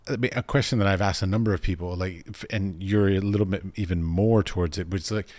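A man hosts a conversational interview, reacting loosely and joking with his guest. His voice is very low. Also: darkish tone. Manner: casual, chatty, quick to joke. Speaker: interviewer host.

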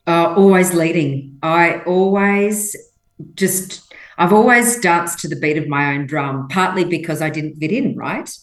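A woman with a fairly low voice speaks as a guest in a conversational interview. English is not her first language. She tells stories emphatically and acts out what people said. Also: unhurried. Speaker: podcast guest.

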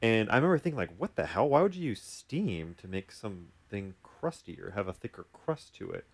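A man co-hosting a casual talk as a therapist, informal and halting as he thinks aloud. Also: on the low side, big pitch swings, creaky, breathy edge. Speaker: podcast host, therapist. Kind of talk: casual chat.

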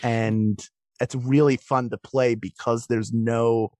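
A man's voice: mid-range voice, level tone, takes it slowly, a little mellow, no echo. A man talking as a guest in a casual discussion, explaining things and rephrasing as he goes. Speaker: podcast guest, performer.

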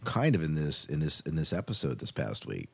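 A man with a low voice hosts a relaxed, informal podcast discussion. His delivery is halting, and he often doubles back on his words. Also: gravelly, husky. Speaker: podcast host. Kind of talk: casual chat.

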